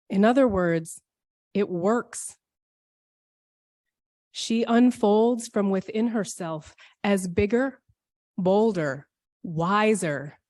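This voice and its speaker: A woman delivering a scripted, reflective church sermon in measured, poetic phrases with deliberate phrasing. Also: medium pitch, darkish tone, dry recording, clean studio sound. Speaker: speaker at a church service.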